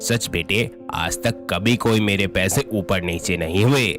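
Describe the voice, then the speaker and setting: A man voicing a gentle, trusting older street vendor, kindly and fatherly, in an animated moral story. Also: low voice, unhurried. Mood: earnest, wistful. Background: music.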